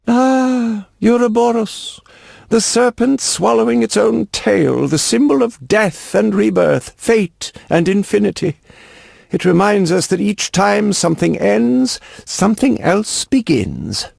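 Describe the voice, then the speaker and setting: An actor playing a fussy, pompous, wealthy antiquities collector with a florid, genteel, pedantic manner, in a video game. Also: male voice, high-pitched, expressive, slow, gravelly, a little mellow, no room sound, studio quality, full character voice. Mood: pompous, delighted.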